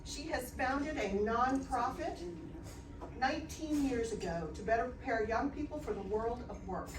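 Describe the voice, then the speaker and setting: A woman's voice: fairly high pitch, moderate pace. A woman hosting an event, reading out a formal, admiring introduction of the speaker. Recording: echoey room from far off, clatter behind.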